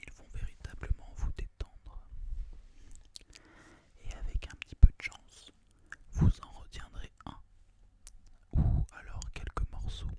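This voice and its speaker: A young man from France hosting ASMR, whispering breathily to viewers in a soothing, deliberate manner. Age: young adult. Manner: soothing, deliberate, repeats phrases softly. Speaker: ASMR video host. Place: small student apartment, indoors.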